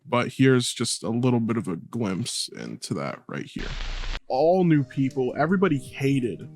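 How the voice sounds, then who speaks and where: A man's voice: medium pitch, sing-song, moderate pace, darkish tone, a little hiss or hum. A man speaking earnestly and colloquially in a personal video essay, as the creator narrating it.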